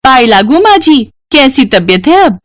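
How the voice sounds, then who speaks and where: Woman putting on catty sister-in-law, raised pitch, jealous, nosy, sharp-tongued, family story drama. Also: big pitch swings, unhurried. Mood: fake-polite.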